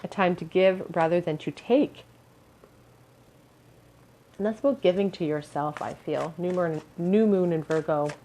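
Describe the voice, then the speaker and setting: A woman giving a pick-a-card tarot reading in a musing tone, her voice a little hoarse and scratchy, often clearing her throat. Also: fairly low pitch, a little slow, pauses often, mellow. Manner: musing, hedges with "I feel". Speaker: tarot reader.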